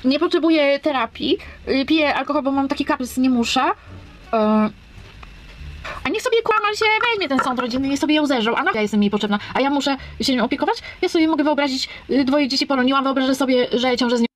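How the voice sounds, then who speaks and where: Quick, high-voiced, defiant woman. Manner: defiant, bitter and rambling. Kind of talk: self-filmed video monologue.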